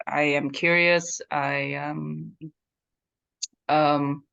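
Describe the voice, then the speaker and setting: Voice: mid-range voice, level tone, extremely slow, vocal fry, pushed and bright, clear, resonant tone. Hesitant participant from Vietnam on a call. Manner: hesitant, many fillers and restarts. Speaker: workshop participant. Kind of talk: casual self-introduction. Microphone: video call, camera off. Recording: muffled video call audio.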